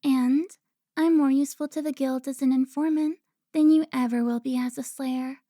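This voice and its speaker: A woman playing a seductive, haughty vampire informant in an audio drama, teasing with drawn-out, theatrical delivery. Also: high voice, fairly even intonation, mellow, no echo, clean studio sound, close to an everyday voice. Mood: haughty, taunting. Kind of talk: cinematic audio roleplay acting.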